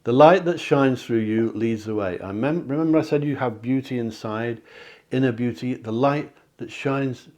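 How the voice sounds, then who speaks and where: A man leads a card reading, chatting and encouraging, correcting himself as he goes. His voice sounds slightly hoarse at times, and he clears his throat. His pitch sits in the middle range for a man. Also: normal speed, a little mellow. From England. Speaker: psychic card reader. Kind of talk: tarot-style card reading to camera. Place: home near the sea.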